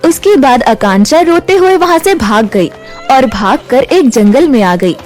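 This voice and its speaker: A woman's voice: high voice, expressive, unhurried, darkish tone, soft background music. A woman reading a scripted children's moral story as its storyteller, in a studio voice-over.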